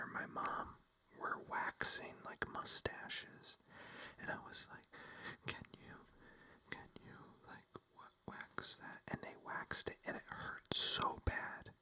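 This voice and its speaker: A vlogger telling a rambling, casual story in a breathy ASMR whisper, full of fillers like "like" and "you know.". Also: bright, forceful tone. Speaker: vlogger addressing viewers.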